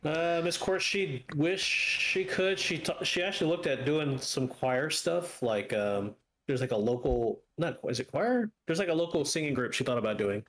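A man's voice: a little high, lively intonation, normal speed, hardly any pauses, fairly bright tone. A man co-hosting a podcast in casual, rambling chat, teasing and drifting into asides. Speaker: podcast co-host. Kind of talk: casual chat with live chat banter.

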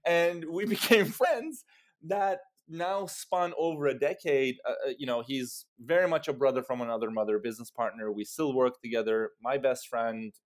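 A man in his thirties from Turkey, telling personal stories as an interview guest and acting out remembered dialogue in an animated manner. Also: medium pitch, moderate pace. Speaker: interview guest, startup co-founder. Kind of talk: conversational personal storytelling.

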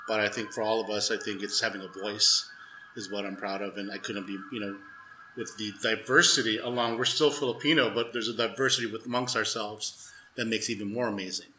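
A male chef reflecting earnestly in a halting, casual way. His voice is mid-pitched and very bright, in an echoey room with a faint hiss behind it. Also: fairly even intonation. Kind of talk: casual conversation.

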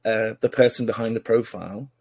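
A man's voice: on the low side, fairly even intonation, brisk, gravelly, darkish tone, husky, no room sound. A man hosting a casual podcast interview, chatty and teasing, with many fillers and restarts. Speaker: podcast host.

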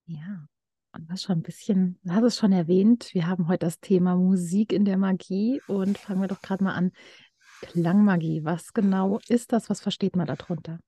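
A woman hosting a podcast, speaking in a friendly, softly spoken way. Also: on the low side, steady tone, normal speed, breathy edge, dry recording. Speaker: podcast host.

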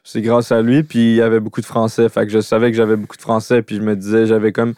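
Un jeune homme à l'accent québécois, en conversation décontractée, au parler familier truffé de mots anglais. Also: male voice, lowish voice, fast, no echo, clean studio sound. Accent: Québécois French. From Quebec. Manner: relaxed, slangy, mixes in English words. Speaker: YouTuber, podcast co-host. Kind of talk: casual chat.

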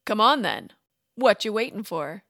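A woman's voice: medium pitch, sing-song, unhurried, creaky, fairly bright tone, dry recording, clean studio sound, heavily acted. A woman voicing a friendly merman boy in a storybook with an easygoing manner.

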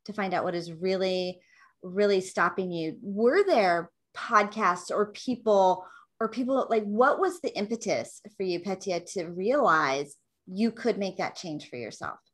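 A woman's voice: mid-range voice, measured pace. Upbeat woman hosting an interview. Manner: upbeat, enthusiastic. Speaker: podcast host.

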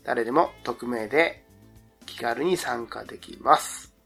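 Male podcast host, creaky voice, casual analytical marketing chat, slangy, music behind. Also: medium pitch, a little slow, a bit bright.

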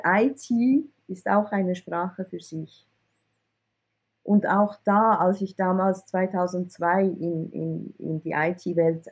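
A woman speaks in a mellow, somewhat husky voice. Her answers are candid and she restarts words as she searches for them. She tends to end her points with a questioning tag. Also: medium pitch. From Iran. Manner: candid, repeats words when searching, ends points with "oder?". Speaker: IT recruitment consultant. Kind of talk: interview answers.

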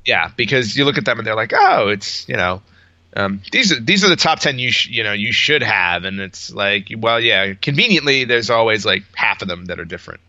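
A man's voice: lowish voice, sing-song, a little fast, bright, forceful tone, dry recording, lo-fi sound. A man co-hosting a casual podcast, emphatic and opinionated, repeating himself as he makes his points, on somewhat band-limited audio. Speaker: podcast co-host. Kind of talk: casual unscripted podcast banter.

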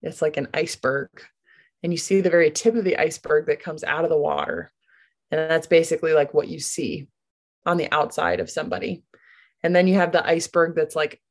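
A woman in her late twenties from Michigan, a guest on a podcast, talking earnestly with light laughs and pauses. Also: low-pitched. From Grand Rapids, USA. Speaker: podcast guest, business founder. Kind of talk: casual interview.

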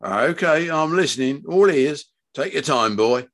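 A blustering older father from an audiobook drama, acted by a male reader. He is loud and raised in pitch, with blunt working-class speech and an exasperated, bullying manner. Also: animated, unhurried. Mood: gruff, hearty.

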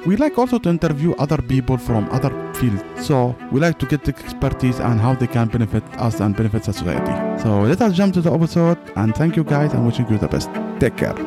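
A man hosting a podcast, speaking casual English with loose grammar and interviewing a guest. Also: medium pitch. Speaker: podcast host.

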